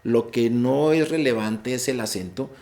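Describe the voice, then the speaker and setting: A man's voice: mid-range voice, a little slow, darkish tone. A man with a Colombian accent advising students on an English exam in a virtual session, explaining rules and repeating key points. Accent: Colombian Spanish. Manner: explanatory, repeats and restarts words, stresses key advice. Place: online session.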